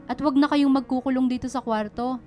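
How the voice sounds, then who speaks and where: A woman's voice: sits high, steady tone, brisk, darkish tone, soft background music, natural voice. An actress playing a stern but caring working mother in a radio drama, with a Filipino accent and a scolding, questioning way of talking. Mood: firm, relenting. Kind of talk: Tagalog radio drama acting.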